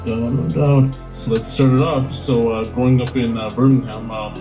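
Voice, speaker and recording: Young male host, casual and halting, echoey room with faint music. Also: mid-range voice, fairly even intonation. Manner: casual, halting, heavy use of "like" and fillers. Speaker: interviewer. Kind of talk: casual interview.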